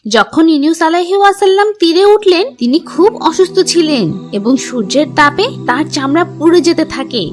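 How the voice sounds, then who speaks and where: A woman's voice: very high-pitched, expressive, fairly quick. A woman reading a scripted religious story for children in a teacherly manner, as a storyteller. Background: music.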